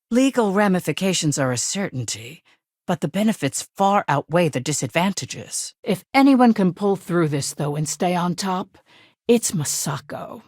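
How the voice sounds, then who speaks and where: Video game corporate attorney, female, played by an actress: stern, impatient, businesslike delivery, slightly low pitch, studio-dry. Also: no room sound, lightly acted. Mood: businesslike, curt. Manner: crisp, formal, businesslike, curt. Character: brisk, stern corporate lawyer woman, guarded and impatient. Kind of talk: scripted game dialogue.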